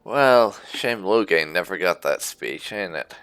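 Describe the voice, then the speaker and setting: A man's voice: sits low, expressive, measured pace, fairly bright tone, smooth, no echo, faint music behind. A man giving casual in-character roleplay commentary on a video game, musing wryly with muttered asides. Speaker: gaming channel host.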